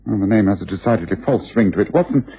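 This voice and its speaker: A muffled, rough old radio drama recording of a shrewd English gentleman detective. His upper-class delivery is precise, decisive and highly animated, with theatrical self-assurance. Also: fast, full character voice. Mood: musing, deductive.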